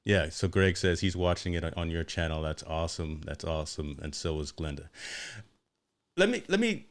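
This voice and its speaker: A man's voice: extremely low pitch, very animated, normal speed. A male host running a casual livestream interview over a video call, upbeat, repeating words and tagging on "right?".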